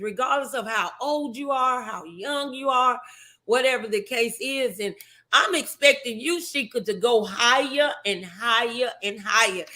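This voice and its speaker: A woman's voice: on the high side, bright, forceful tone. A woman hosting a motivational talk show, speaking in an encouraging, emphatic, preachy way.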